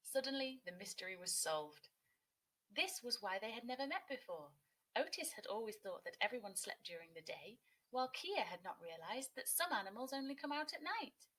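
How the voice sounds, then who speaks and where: A woman teacher narrating a children's story, expressively and clearly phrased for young listeners. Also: fairly high pitch, very animated, moderate pace, a bit bright, rough recording.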